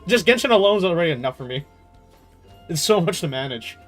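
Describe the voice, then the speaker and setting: A man giving casual gaming commentary as a streamer, talking in an animated, slangy, exasperated way. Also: a little high, fast talker, soft background music. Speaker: game streamer.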